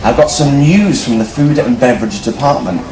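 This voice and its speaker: A man's voice: mid-range voice, animated, normal speed. A jovial man with a showman's manner presenting live on stage as a cruise host and interviewing crew. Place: large ship venue, on stage. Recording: echoey hall with loud noise and crowd.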